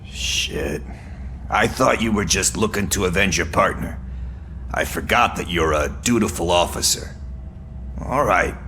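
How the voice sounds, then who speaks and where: An actor playing a cocky, evasive, streetwise sidekick in a video game, glib and casual with a gravelly voice. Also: male voice, sits low, measured pace, pushed and bright, a little hiss or hum, heavily acted. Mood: wry, resigned.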